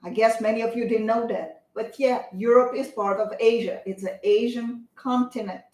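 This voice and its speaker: A woman's voice: a little high, steady tone, moderate pace, a little mellow. Emphatic woman lecturing, echoey room. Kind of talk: opinionated talk show discussion.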